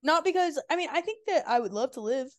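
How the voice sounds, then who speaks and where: A young woman with a slightly high voice chats casually as a podcast co-host. She is playful and slangy, often sounding mock-incredulous as she banters. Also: animated, fast. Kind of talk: casual chat.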